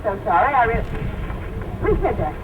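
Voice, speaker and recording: High, fluttery society lady. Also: very animated, normal speed, distant mic. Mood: alarmed. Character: genteel society hostess, easily flustered and frightened. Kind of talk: 1940s radio drama acting. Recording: tinny old radio playback, vehicle noise.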